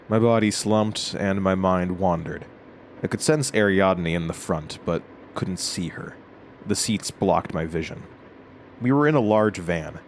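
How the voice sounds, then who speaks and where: An actor playing a haunted narrator in a horror audio drama, telling his own story in a grave, halting way. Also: low voice, a little hiss or hum.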